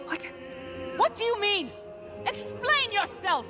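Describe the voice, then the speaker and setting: A woman's voice: very high-pitched, sing-song, takes it slowly, heavily acted. An actress playing a proud, driven female commander in a fantasy game, with a formal, intense and demanding manner. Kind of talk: scripted fantasy game voice acting. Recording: game music behind the voice.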